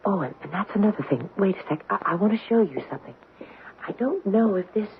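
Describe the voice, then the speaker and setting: A woman's voice: mid-range voice, lively intonation, gravelly. A woman playing an anxious young mother in a haunted house, speaking hesitantly in a radio drama. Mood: uneasy. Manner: hesitant, halting, stammering. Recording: tinny, noisy old radio broadcast.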